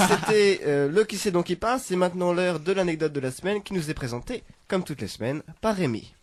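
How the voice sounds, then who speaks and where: A young student radio host with a high voice introduces the next segment from the studio. He keeps it brisk, with a small stumble here and there. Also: expressive. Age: young adult.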